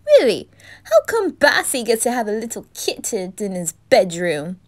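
A woman's voice: a little high, wildly swooping pitch, normal speed. A woman voicing a flamboyant, doting, motherly reaper in an ASMR fan roleplay, gushing with endearments. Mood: flustered, dramatic.

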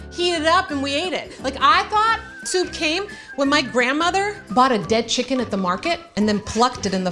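The woman on a kitchen cooking show, animated and higher-voiced, joking at her own expense and chatting advice as music plays along. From Croatia. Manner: animated, self-mocking, conversational. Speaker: host of a cooking and parenting show. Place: home-style kitchen, indoors.

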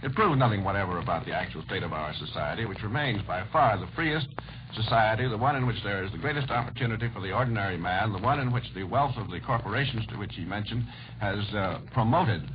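A man's voice: fairly low pitch, lively intonation, quick pace. A man in a 1940s radio debate, speaking as a formal, polite but combative panelist. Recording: noisy, muffled 1940s radio recording.

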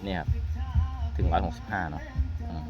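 A man's voice: low-pitched, steady tone, brisk. A man giving an informal hands-on product walkthrough as a trainer, repeating words as he explains. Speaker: product trainer demonstrating network testers. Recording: muffled lo-fi audio, music underneath. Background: background music.

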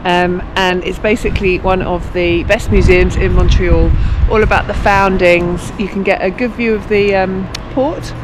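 A woman's voice: on the low side, fairly even intonation, normal speed, few pauses. A woman, walking and talking through a city tour, chatty and full of ums, filmed on a handheld camera at arm's length outdoors. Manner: chatty, frequent ums, recommending sights. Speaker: travel vlogger. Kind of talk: self-guided walking tour vlog. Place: snowy city streets outdoors. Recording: outdoor street noise.

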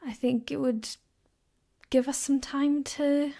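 Woman acting a slow, soft ASMR girlfriend. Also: sits high, steady tone, creaky, full character voice. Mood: relaxed, affectionate. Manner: soft-spoken, hesitant, rambling. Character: gentle caring girlfriend, comforting her partner. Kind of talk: ASMR roleplay, soft and partly whispered. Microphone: close binaural microphone.

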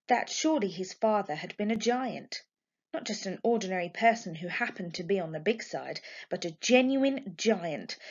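A woman reading a children's book aloud like a primary teacher, in expressive, deliberate narration. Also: medium pitch. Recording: dull, lo-fi home recording.

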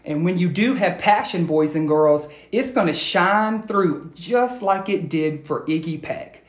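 A middle-aged man reads to children at a slow, expressive pace. He speaks directly and encouragingly to his young listeners. It is recorded on a phone in an echoey room at home. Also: sits high. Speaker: educator reading aloud to schoolchildren. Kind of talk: expressive children's read-aloud.

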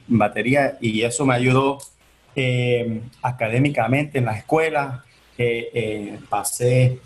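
A man in his forties, born in Venezuela and raised in the United States, answering interview questions in a chatty way with many restarts over a video call. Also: medium pitch. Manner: chatty, many fillers and restarts, gestures while talking. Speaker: entertainment attorney, guest. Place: home office on video call.

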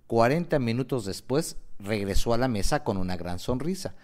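A man's voice: mid-range voice, animated, moderate pace, a little mellow. A man narrating a scripted radio novela in a dramatic storytelling style.

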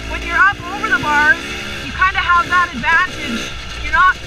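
A woman's voice: very high voice, fairly even intonation, moderate pace, background noise. A young woman giving upbeat riding tips while out on a forest trail on a dirt bike, recorded on a helmet camera mic. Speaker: motovlogger riding instructor.

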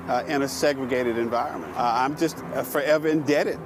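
An earnest man in his seventies, a local official, speaks in an outdoor city interview with a few fillers. Clear music plays beneath his voice. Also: medium pitch, fairly even intonation, fairly quick. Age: 70s. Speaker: county commissioner interviewee.

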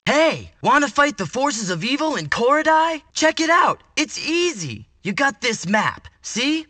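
A man's voice: extremely high pitch, very animated, a little slow, sharp tone, faint music behind, full character voice. An actor playing an eager, cocky young male hero in a game cartoon, with boyish gee-whiz enthusiasm.

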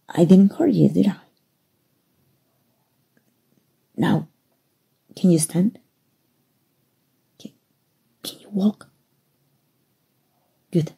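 Woman acting low, breathy monster-world rescuer. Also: warm tone, full character voice. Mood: hushed, urgent. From Mexico. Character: kind human rescuer, gruff-talking, reassuring undercover rebel. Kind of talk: ASMR roleplay acting.

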